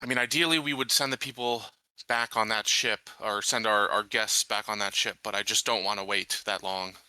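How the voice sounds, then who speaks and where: A man's voice: fairly low pitch, steady tone, moderate pace, bright, forceful tone, clear, resonant tone, no room sound. A man playing a tabletop roleplaying game, talking through plans and questions in casual chat. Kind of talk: casual in-game planning talk.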